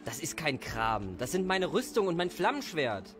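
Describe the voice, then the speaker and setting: A man's voice: fairly high pitch, big pitch swings, normal speed, music in the background. A man playing a young, proud and naive village hero in an audio drama. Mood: defensive, proud.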